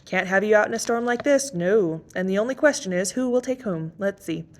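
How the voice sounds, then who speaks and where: A woman's voice: medium pitch, lively intonation, a little fast, close to an everyday voice. A woman voicing a small, bustling old female fortune teller who coos and calls everyone dear. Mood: chattering. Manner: doting, sing-song, calls everyone dear.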